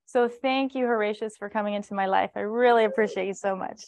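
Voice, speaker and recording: A woman's voice: mid-range voice, fairly even intonation, quick pace, no echo. A woman in her thirties hosting an event, introducing a colleague with casual, fond praise in front of an audience. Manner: warm praise, casual fillers. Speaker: event host. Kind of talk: introducing a speaker to a live audience. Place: event room with a stage.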